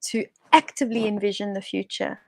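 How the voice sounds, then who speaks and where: A woman's voice: mid-range voice, steady tone, a little fast, gravelly, no echo. A woman guest on an online talk show, speaking in a thoughtful, expansive way with frequent restarts. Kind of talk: reflective online conversation. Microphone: video call.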